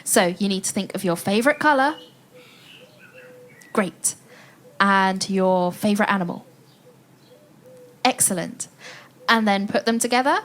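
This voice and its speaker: A woman playing an adventurous storyteller in an audio play for children, addressing listeners directly with dramatic pauses. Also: medium pitch, lightly acted. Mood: playful, guiding.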